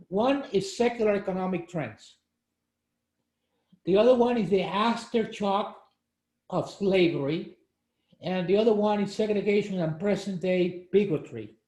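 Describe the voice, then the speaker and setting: A man's voice: high voice, a little mellow. Older cuban-born guy leading a video call chat, lots of uh and you know, roomy echo. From Cuba. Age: 60s. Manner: halting, many fillers and restarts, explanatory. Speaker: discussion leader. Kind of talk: casual group discussion on a video call.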